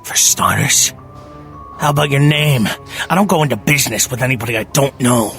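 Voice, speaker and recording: An actor playing a gruff, wary criminal enforcer in an audio drama, blunt and suspicious in manner. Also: male voice, mid-range voice, brisk, a bit bright, faint music behind. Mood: wary, intrigued.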